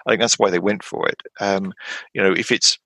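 A man in his fifties chatting casually about tabletop games, rambling with lots of fillers and restarts and sudden animated jumps in pitch. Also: brisk, no room sound. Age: 50s. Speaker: podcast guest.

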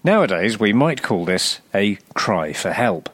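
Low-pitched wry man, dry close recording. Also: measured pace, breathy edge, no echo. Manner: wry, deadpan, sardonic. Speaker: podcast presenter reading own script. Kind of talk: scripted humorous narration.

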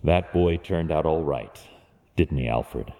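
An actor playing a brooding, driven masked vigilante in an audio drama, grave and measured. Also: male voice, a bass voice, dark tone, a little hiss or hum, heavily acted. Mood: quietly reflective. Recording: long cavernous hall reverb.

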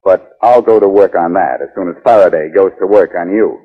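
A man's voice: deep voice, fairly quick, natural voice. A male actor playing a cool, confident, wisecracking amateur detective with wry, needling delivery in a 1940s radio drama. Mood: pressing, assured. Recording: muffled, narrow-band 1940s radio recording.

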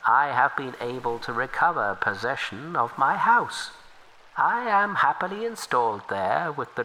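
A man's voice: medium pitch, a little hiss or hum, full character voice, rough recording. A male storyteller voicing a huge, grateful old river turtle who speaks humbly and earnestly. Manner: humble, soft-spoken, earnest.

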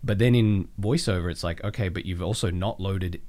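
Low-pitched Australian man, wry and chatty. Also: fairly quick, warm tone. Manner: wry, jokey, acts out imagined dialogue. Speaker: podcast guest, voice actor. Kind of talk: casual conversational storytelling.

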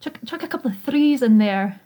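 A woman's voice: high voice, expressive, a little fast, warm tone. A woman co-hosting a casual podcast, reading promo copy with a wry, teasing manner. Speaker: podcast co-host.

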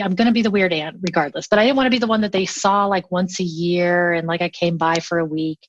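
A woman's voice: fairly low pitch, no room sound, highs cut off. A woman chatting casually as an interview guest, telling things in an enthusiastic, anecdotal way. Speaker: remote collaboration workshop teacher.

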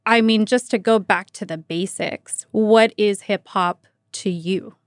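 A woman's voice: mid-range voice, steady tone, unhurried, no echo, clean studio sound. An American woman from the San Francisco Bay Area speaking as the host of a radio podcast. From San Francisco Bay Area, United States. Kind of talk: scripted narration and interview.

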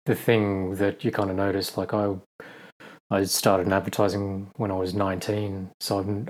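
A man's voice: lowish voice, darkish tone, breathy edge. An Australian man co-hosting a podcast over a video call, easygoing and friendly, with short, casual replies. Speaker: podcast co-host.

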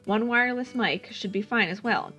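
A woman's voice: mid-range voice, moderate pace, pushed and bright, soft background music, close to an everyday voice. Perky, bossy young college woman, teasing as a narrator reads her. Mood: playful, bossy. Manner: teasing, commanding.

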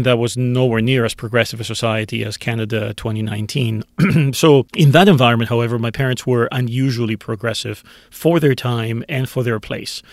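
A man's voice: mid-range voice, no echo. A man in his fifties from Greece, answering interview questions about his life in a candid, self-deprecating way. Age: 50s. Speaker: author guest.

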